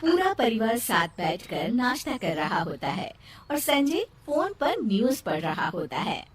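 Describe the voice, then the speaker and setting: A woman's voice: fairly high pitch, sing-song, measured pace, distant mic. A woman narrating a scripted storybook tale.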